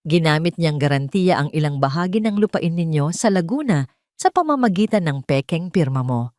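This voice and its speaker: A grave lawyer, played by a woman narrator speaks in a low, dry voice. He is steady, serious and protective, as in an audio drama. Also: moderate pace, no echo. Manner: serious, switches between Tagalog and English.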